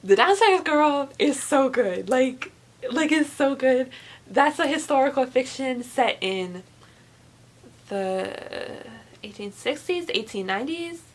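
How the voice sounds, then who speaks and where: A woman's voice: sits high, animated, takes it slowly. A young woman chatting casually as a vlogger, gushing and emphatic, often repeating words for emphasis. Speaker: book reviewer vlogger. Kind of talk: casual chat to camera.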